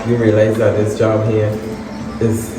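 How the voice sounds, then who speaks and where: Young Guyanese man, low voice, halting guest answers, echoey roomy recording off-mic. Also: music in the background. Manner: relaxed, halting, with many fillers and restarts. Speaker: guest, soca artist. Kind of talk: casual interview. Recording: echoey room, distant mic, noisy.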